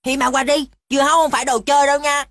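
A woman's voice: high voice, level tone, very fast, a bit bright, no echo, studio quality. A woman voicing a mischievous, cheeky little boy of about five in a cartoon dub, drawling as if talking to himself. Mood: hungry, scheming. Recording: music behind the voice.

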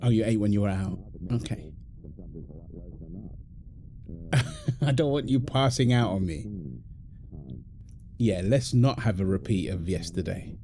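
A man's voice: lowish voice, normal speed, pauses often, noisy background, close to an everyday voice. A British man playing an affectionate, playful husband in an intimate, naturalistic audio roleplay, talking casually and teasingly in short repeated phrases. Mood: gentle, apologetic. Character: affectionate, playful, apologetic husband, gamer with his mates.

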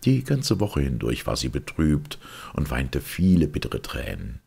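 A man narrating a fairy tale as an audiobook in a measured, solemn, expressive way. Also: very deep voice, gravelly, slightly rough.

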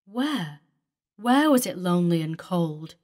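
A woman's voice: fairly low pitch, big pitch swings, takes it slowly, a little mellow. A woman voicing an aging man who pleads with sorrowful longing. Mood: yearning. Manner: pleading, emotional.

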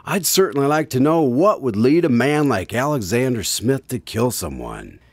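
A man acts as a cheerful radio drama announcer. His pitch moves in lively swings, and he is courteous with his guest. The recording is dry and close. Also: normal speed, dry recording. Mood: friendly.

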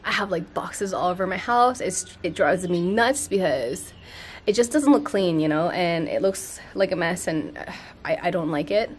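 A woman's voice: lowish voice, animated, moderate pace, slightly rough. An American woman in her thirties chatting casually and expressively to camera in an informal vlog, held at arm's length. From Thailand-born, raised in the United States. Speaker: fitness vlogger. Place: outdoor patio by a pool at home.

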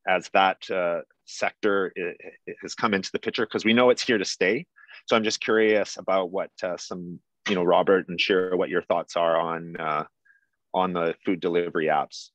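A man moderating a panel discussion, asking questions in a hesitant, halting way. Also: low-pitched, no room sound. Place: indoors on a video call. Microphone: video call. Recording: dry, slightly dull video-call audio.

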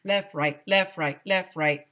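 Lady doing a mean drill sergeant doctor guy from a kids book, barks orders, sharp and scornful. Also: on the low side, takes it slowly. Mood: barking. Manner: barking commands, scornful. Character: stern, bullying male drill-sergeant school doctor.

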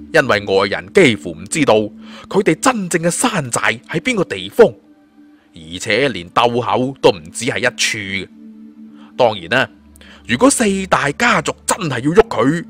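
A man's voice: mid-range voice, fairly bright tone. A man with a Cantonese accent narrating a fantasy audiobook in a colloquial, dramatic storyteller's manner.

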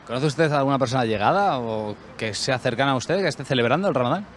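A man's voice: medium pitch, animated, fast talker, slight background noise. A man interviewing passers-by on a city street with a handheld mic, asking formal questions. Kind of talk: vox pop street questions.

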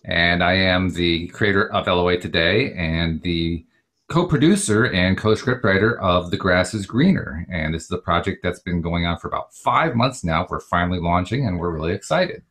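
Older man, deep low voice, home webcam. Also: lively intonation, normal speed, a bit bright. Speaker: show creator and co-producer. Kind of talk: self-introduction on video call. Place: home office.

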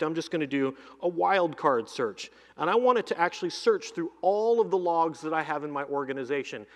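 A middle-aged man presents a software demo from a conference stage. His voice sits a bit high and stays energetic as he rattles off long lists. A headset mic picks him up, and the big hall adds a long reverb tail. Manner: energetic, lists items in quick runs. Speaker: keynote presenter demoing cloud software. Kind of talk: live keynote demo.

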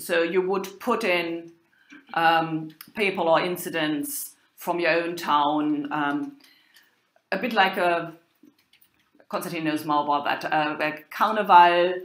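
A woman lecturer giving an unhurried talk, pausing often with ums and uhs. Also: fairly low pitch, a bit bright, echoey room, poor audio. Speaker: university lecturer. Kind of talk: lecture on medieval drama.

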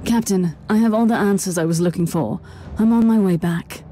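A woman's voice: medium pitch, a little fast, dark tone, faint music behind, lightly acted. An actress playing a stern, righteous, unyielding female inquisitor in a video game cutscene. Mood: businesslike.

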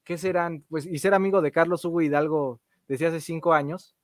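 A man's voice: a little high, fairly even intonation, normal speed. A man hosting a casual livestream interview, chatty and joking in his manner. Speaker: interview host.

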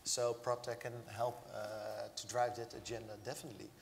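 A man's voice: fairly low pitch, flat delivery, normal speed, gravelly. A man from the Netherlands speaking on a conference panel, hesitant with many fillers. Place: large event hall stage. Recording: reverberant hall, faint noise.

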